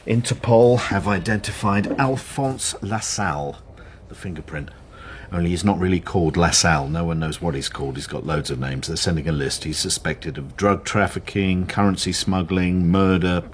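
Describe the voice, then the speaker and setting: An actor playing a dry, sceptical police detective, terse and sardonic, in a naturalistic radio drama. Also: male voice, low-pitched, normal speed, slight background noise, close to an everyday voice. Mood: brisk, intrigued.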